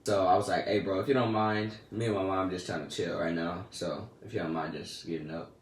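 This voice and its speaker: A teenage boy casually telling a story about his day in slangy, laid-back talk that often trails off soft and quiet. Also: deep voice, steady tone, fairly quick, distant mic. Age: teens. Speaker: vlogger. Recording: echoey room, a bit off-mic, faint noise.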